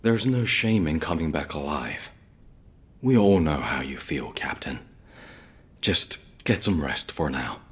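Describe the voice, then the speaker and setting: An actor playing a steady military comrade offering reassurance in a video game cutscene. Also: male voice, a bass voice, unhurried. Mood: consoling.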